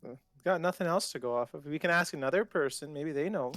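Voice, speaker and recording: A man's voice: fairly high pitch, fast talker, fairly bright tone, no room sound. A man chatting casually as a player in an online tabletop role-playing game. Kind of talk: casual chat. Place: online group call.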